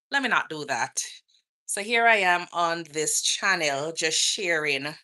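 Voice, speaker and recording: Bright-voiced Jamaican woman chatting live. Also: on the low side. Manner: chatty, greets viewers by name. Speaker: vlogger and nurse. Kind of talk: casual livestream Q&A. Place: outdoors.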